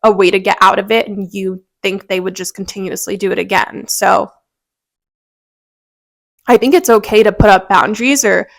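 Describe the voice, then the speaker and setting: A woman's voice: mid-range voice, fairly even intonation, normal speed, creaky, no room sound. A woman hosting a motivational podcast, talking earnestly and encouragingly straight to her listeners, a little congested. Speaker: podcast host.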